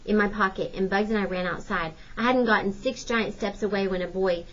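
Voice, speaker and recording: A woman's voice: medium pitch, a little fast, fairly bright tone. A woman narrating a children's novel aloud like a teacher reading to pupils. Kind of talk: storybook narration. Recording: slightly dull, lo-fi audio.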